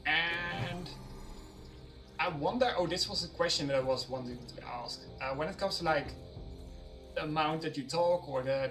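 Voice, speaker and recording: A man's voice: mid-range voice, fairly bright tone. Guy on voice chat, kinda hesitant, lots of likes and ums, far off the mic. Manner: hesitant, searching for words, lots of fillers. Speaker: guest on voice chat. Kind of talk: casual chat.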